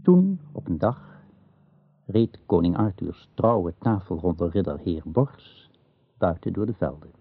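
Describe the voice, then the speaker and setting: A man's voice: deep voice, expressive, takes it slowly, gravelly, husky. A man narrating a scripted radio drama as its storyteller. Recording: narrow, phone-like old radio recording.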